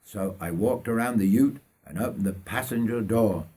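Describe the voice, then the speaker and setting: An older man from North Yorkshire, England, reading his own life story aloud in a deliberate, dramatic way. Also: lowish voice, dark tone, slightly rough. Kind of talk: autobiographical story narration.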